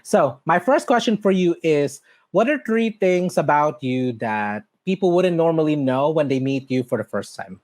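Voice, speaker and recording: Bubbly, laughing podcast host. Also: male voice, animated, normal speed, few pauses, a little mellow, dry recording. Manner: bubbly, laughs often, swings up high in pitch; self-correcting, chatty. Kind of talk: casual interview chat.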